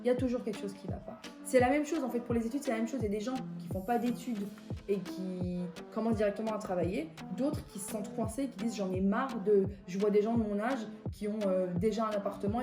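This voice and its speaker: A woman's voice: medium pitch, quick pace, echoey room, over music. A woman from Belgium chatting casually as a beauty vlogger, answering viewer questions with lots of fillers. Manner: chatty, gives life advice, many fillers. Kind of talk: casual chat.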